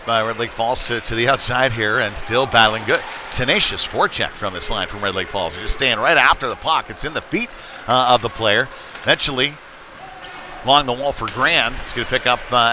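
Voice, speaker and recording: A man with a mid-pitched voice calls a live hockey game from the rink. He talks casually, with hesitant, folksy asides mixed into the play-by-play. Clear venue noise runs behind his voice. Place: ice rink, live stream broadcast.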